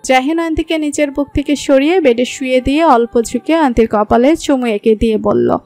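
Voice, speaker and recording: A woman narrating a romantic story in a smooth, even storytelling voice. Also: high voice, a little fast. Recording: soft background music.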